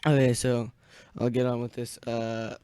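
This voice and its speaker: Halting young man hosting, dry sound. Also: medium pitch, flat delivery, warm tone, clear, resonant tone, no room sound. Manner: halting, easily distracted. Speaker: host of an amateur radio club net. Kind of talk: casual live radio-net chat.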